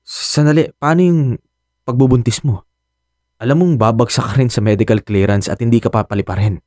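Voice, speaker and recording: A man's voice: mid-range voice, brisk, a little mellow, no echo, studio quality, lightly acted. A man with a Filipino accent playing an anxious young expectant father in a radio drama. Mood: alarmed. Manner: sighing, hesitant, earnest.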